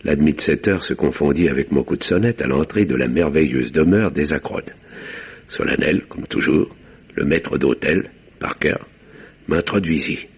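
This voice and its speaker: An actor playing a weary, reserved country doctor who narrates a radio mystery in a measured, formal, literary way. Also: male voice, rumbling low, breathy edge, muffled recording, exaggerated voice. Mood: grave, measured. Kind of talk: French radio mystery drama acting and narration.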